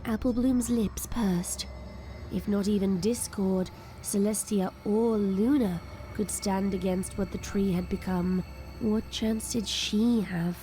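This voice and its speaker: A woman's voice: mid-range voice, fairly even intonation, slow pace, dark tone, a little hiss or hum, poor audio. A woman reading scripted third-person story narration as the narrator of an audio drama.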